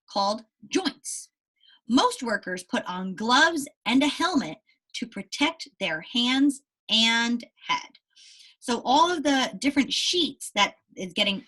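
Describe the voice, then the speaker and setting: A woman reads to young pupils as their teacher, her voice bright and a little raspy. Her pitch swings in a lively way as she explains the text and poses simple questions to keep them following. The delivery is instructive and pitched somewhat high. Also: poor audio. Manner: instructive, checks understanding with "right?" and questions. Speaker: elementary school teacher. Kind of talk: reading a children's book aloud and explaining it to pupils.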